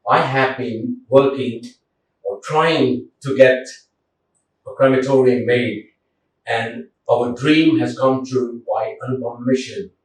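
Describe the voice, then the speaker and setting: A man's voice: medium pitch, fairly even intonation, takes it slowly. A man speaks gratefully about a community project. He sounds far from the mic, and the room echoes heavily around his voice. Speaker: community volunteer.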